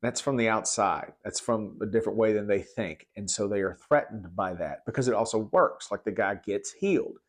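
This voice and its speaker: Low-voiced man teaching the Bible. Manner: halting, conversational, repeats words. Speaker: preacher giving Bible commentary.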